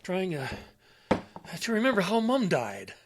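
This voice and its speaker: Anxious, forgetful elderly dad for audio drama. Hesitant stammer, widely varying pitch. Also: male voice, huge pitch swings, a little slow, heavy background noise, heavily acted. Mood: hesitant, sheepish. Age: older. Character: confused, anxious elderly father with memory loss.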